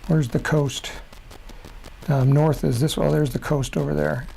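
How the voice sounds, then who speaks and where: A middle-aged man from Chicago talks through a screen-share demo at his desk mic. His voice is mellow and gravelly. He rambles, corrects himself, and chuckles at his own slips. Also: a little high, level tone, a little slow, slight background noise, poor audio. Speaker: rocketry software presenter.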